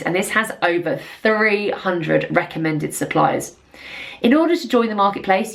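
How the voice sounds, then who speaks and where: Female presenter, lowish pitch, semi-scripted software review, earnest and emphatic delivery. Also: gravelly. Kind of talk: semi-scripted explainer to camera.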